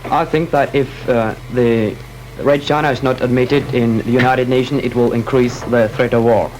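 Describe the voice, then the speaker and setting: A man's voice: medium pitch, fairly even intonation, moderate pace, darkish tone, a little hiss or hum, rough recording. Earnest teen boy debater. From Norway. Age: teens. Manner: earnest, with frequent fillers. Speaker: student debater. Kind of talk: panel debate.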